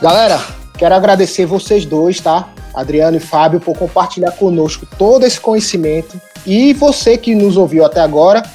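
A man's voice: high voice, moderate pace, warm tone, faint music behind. A man with a Northeastern Brazilian accent hosting a podcast, upbeat, explaining step by step and prompting his guests. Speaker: podcast host. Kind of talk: casual podcast chat.

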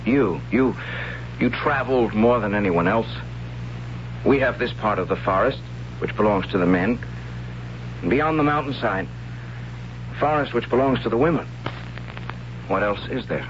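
A man playing a gentle, wry young tribesman narrating. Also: mid-range voice, animated, normal speed, pauses often, a little hiss or hum, natural voice. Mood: hesitant. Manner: wry, hesitant, pleading. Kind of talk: 1970s radio drama narration. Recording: dull old radio broadcast with music.

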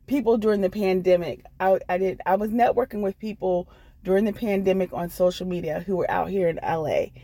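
A woman's voice: fairly low pitch, gravelly, mellow, no echo. A woman with an American accent telling her own story off the cuff, speaking in an earnest, encouraging manner. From Washington D.C. area, United States. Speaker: guest storyteller, comedian and actress. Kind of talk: unscripted personal storytelling.

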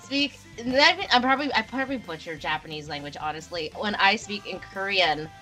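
A woman co-hosting a podcast in relaxed chat, her sentences full of fillers and restarts. Her voice is bright and sharp, with faint music in the background. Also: medium pitch, a little fast, poor audio. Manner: chatty, lots of "like" fillers. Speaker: podcast co-host. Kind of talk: casual chat.

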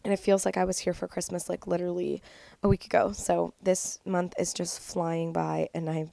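A woman's voice: on the low side, little pitch movement, moderate pace, vocal fry, dark tone, no room sound. A young woman in her twenties hosting a casual solo podcast, stuffed up and nasal with a cold, chatty and upbeat with lots of "like". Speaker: podcast host.

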